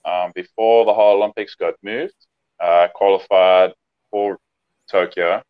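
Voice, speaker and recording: Man, twenties, from South Africa, smooth resonant voice, video call guest, easygoing. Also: deep voice, fairly even intonation, a little slow. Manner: easygoing, plain, many ums. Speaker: guest swimmer. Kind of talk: casual interview on a video call. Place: home room. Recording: dull lo-fi call audio.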